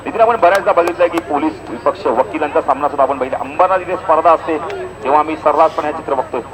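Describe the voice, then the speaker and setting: Fast, high-voiced male cricket commentator. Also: rough recording. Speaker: cricket commentator and match announcer. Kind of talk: live play-by-play commentary at a local tournament. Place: outdoor cricket ground. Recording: outdoor event PA with crowd noise. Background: crowd and outdoor event noise.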